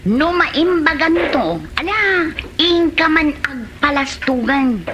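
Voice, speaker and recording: A woman's voice: high voice, lively intonation, slow pace, lo-fi sound, background noise. A woman with an Ilocano Filipino accent playing a feisty village woman in a radio comedy drama. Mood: scolding.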